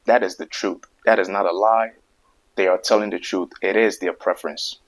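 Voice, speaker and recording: A man's voice: low voice, fairly even intonation, normal speed. A man hosting his own video who stutters and repeats words, making emphatic points over and over in a casual monologue. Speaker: video host. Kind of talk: casual opinion monologue to camera.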